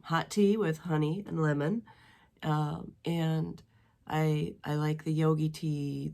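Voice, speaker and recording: A middle-aged woman with a notably low voice chats from her dining room. Her head is stuffy from a spring cold, and she rambles along with plenty of ums and restarts. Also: darkish tone. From western Wisconsin. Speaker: knitting podcast host. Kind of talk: casual chat.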